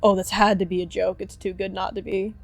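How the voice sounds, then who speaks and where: A woman's voice: mid-range voice, level tone, a little fast, vocal fry, faint music behind. A woman voicing an adult male warrior cat mentor, wry and unsettled, in a roleplay story. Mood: shaken denial. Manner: grumbling, strained denial.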